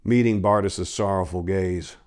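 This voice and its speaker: Low-voiced man narrating dark fantasy dramatically. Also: steady tone, a little slow, clear, resonant tone. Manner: theatrical, grave. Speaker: storyteller.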